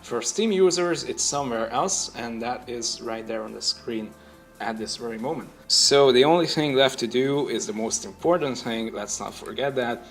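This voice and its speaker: A man narrating a casual software tutorial, explaining things step by step as the host. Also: medium pitch, moderate pace, soft background music.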